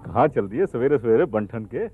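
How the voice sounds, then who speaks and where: A man playing an earnest, patient young village outreach worker who gently persuades through questions and everyday examples. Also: mid-range voice, animated, normal speed, slightly rough. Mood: friendly, persuasive. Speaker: actor in an educational training film. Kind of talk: acted film dialogue. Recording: muffled, lo-fi film soundtrack.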